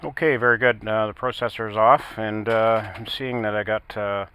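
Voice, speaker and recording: A man working as an amateur radio operator, talking in a halting, matter-of-fact way in a casual technical on-air chat. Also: on the low side, steady tone, sharp tone, a little hiss or hum.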